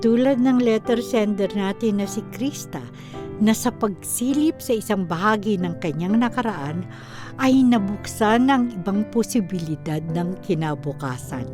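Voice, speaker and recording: Lady narrator for radio drama, reflective scripted read, lively intonation, music behind her. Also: medium pitch, a little slow.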